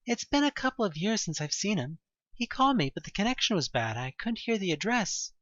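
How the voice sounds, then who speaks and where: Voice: medium pitch, sing-song, a little fast, a bit bright, no room sound, dull audio, pitched above the performer's own voice. A performer voicing a wary, polite young woman searching for her uncle in an audio drama.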